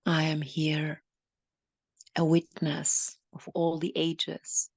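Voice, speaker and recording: A woman with a lowish voice guides a meditation very slowly and solemnly. Her phrasing is deliberate and incantatory, with gravelly creak and breathy, whispery softness, like a channeled healer addressing listeners. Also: monotone, dry recording. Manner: halting, deliberate phrasing with repeated lines.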